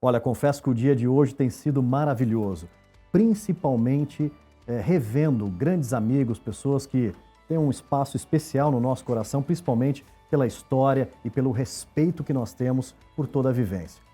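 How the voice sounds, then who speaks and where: A man's voice: mid-range voice, moderate pace, mellow, husky, faint music behind. A man hosting an interview, welcoming his guest and asking about his childhood. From Brazil. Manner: friendly, affirming, short backchannels. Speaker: interview host.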